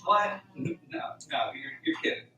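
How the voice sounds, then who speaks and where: A man's voice: moderate pace, bright, forceful tone. A man acting in an amateur radio drama as an easygoing, joking young farmhand who talks casually. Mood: disbelieving. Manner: casual, joking, mock-scholarly at times. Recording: roomy, distant, clear background noise.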